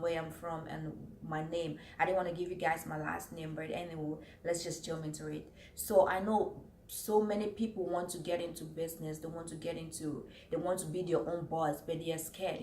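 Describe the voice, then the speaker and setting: A woman talks to camera as a vlogger, earnest and steady, going back over her points as she follows her notes. Her voice sits a little low. The room around her rings with echo, and a faint hiss runs underneath. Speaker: vlogger giving business tips. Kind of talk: casual talk to camera. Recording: roomy echo, faint background noise.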